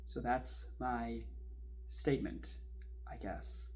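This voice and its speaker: A man playing an anxious, bitter man terrified of death, speaking in halting, intense bursts in a confessional horror drama. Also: mid-range voice, steady tone, a little mellow, slight background noise, close to an everyday voice. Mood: haunted, resigned. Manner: halting, intense, breaking phrases apart.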